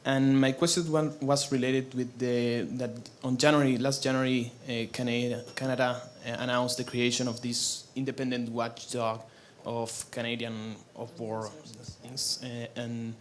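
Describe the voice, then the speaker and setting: A man from Chile, a graduate student asking a question in halting speech with repeated words. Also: medium pitch, little pitch movement, a little hiss or hum.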